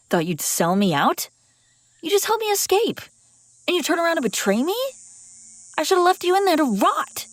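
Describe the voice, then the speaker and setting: A woman's voice: on the high side, no room sound. A woman playing a commanding, wry escaped princess in an audio roleplay, speaking dramatically and expressively straight to the listener. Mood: betrayed, furious. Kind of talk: immersive audio roleplay acting, one side of a dialogue.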